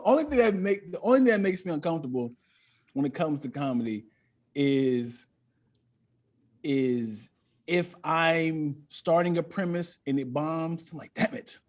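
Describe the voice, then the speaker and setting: A man's voice: fairly high pitch, animated, measured pace. An American man talking casually as a comic guest, telling stories in a self-deprecating, joking way and repeating phrases as he builds a bit. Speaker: stand-up comic and podcast guest. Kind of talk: casual comedic storytelling.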